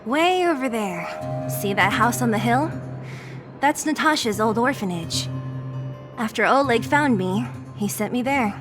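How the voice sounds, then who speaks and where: Brash, sardonic young woman for a game role, high and very animated, music underneath. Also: a little slow. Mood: brisk. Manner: blunt, sardonic. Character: brash, guarded young woman from a slum town. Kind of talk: video game voice acting.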